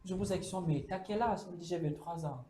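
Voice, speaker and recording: High, mellow-voiced man in an echoey room. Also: fast talker, a little hiss or hum. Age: 30s. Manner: hesitant, many fillers, acts out quoted speech. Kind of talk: casual debate on a campus show.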